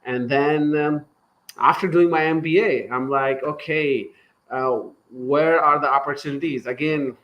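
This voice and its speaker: A man's voice: fairly high pitch, steady tone, unhurried, dull audio. A man from Pakistan, a startup founder, talking as a guest in a casual remote interview, earnest and thankful, with loose restarts.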